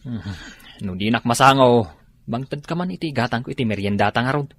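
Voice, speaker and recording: Cheeky, nosy male worker, naive and grumbling, husky, Ilocano accent, lively radio drama delivery. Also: medium pitch, brisk, no echo. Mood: puzzled, nosy.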